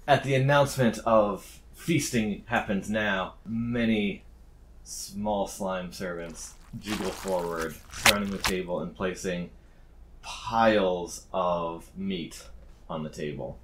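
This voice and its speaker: A man's voice: low voice, takes it slowly, noisy background. A man narrating a tabletop fantasy game as game master, bantering dryly with his players.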